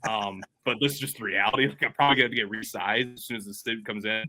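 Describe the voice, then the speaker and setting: Bright, rambling man telling stories. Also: fairly low pitch, fast. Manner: rambling storyteller, laughs often. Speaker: podcast co-host. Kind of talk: casual chat.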